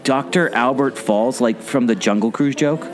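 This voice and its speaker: A man's voice: mid-range voice, normal speed. A man in a scripted audio play, speaking as a skeptical, puzzled sidekick with a theatrical delivery. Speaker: podcast co-host, former theme park skipper. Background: guitar music.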